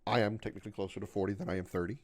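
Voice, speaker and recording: A man's voice: lowish voice, steady tone, very fast, darkish tone. A man in his thirties chatting casually with viewers on a game livestream. He rambles, repeats himself, stumbles over his words and runs himself down in a glum way. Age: 30s. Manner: glum and self-deprecating. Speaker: game streamer.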